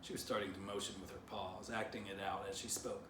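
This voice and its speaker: A man, a children's author, reading his storybook aloud in expressive narration. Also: deep voice, a little fast. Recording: distant mic, roomy, background noise.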